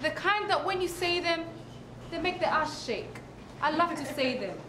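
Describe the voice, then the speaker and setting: A woman's voice: extremely high pitch, expressive, moderate pace, bright, forceful tone. A woman performing a comic monologue in a theatrical, self-important, emphatic way. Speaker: performer reciting a literary piece. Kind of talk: dramatic recitation of a comic monologue. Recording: echoey room with clear background noise.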